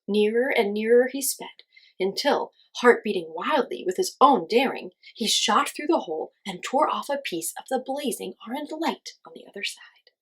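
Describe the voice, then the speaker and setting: A woman reading a children's story aloud as host, in an expressive storytelling style. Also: a little high, moderate pace, breathy edge, rough recording.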